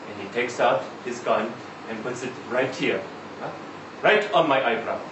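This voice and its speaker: Man performing a tired Middle Eastern trucker, live story monologue, slow rambling delivery, resigned, recorded at a distance in an echoey room. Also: fairly high pitch, a bit bright, heavily acted. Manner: halting, rambling, foul-mouthed, repeatedly seeking agreement. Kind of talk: live dramatic monologue reading of a short story. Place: live literary event in an indoor venue. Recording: echoey room, distant mic, faint noise.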